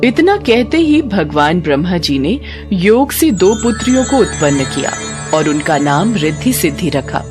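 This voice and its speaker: A woman storyteller narrates a devotional tale with a lively, varied pitch. Her delivery is measured and expressive, with clear music playing behind her.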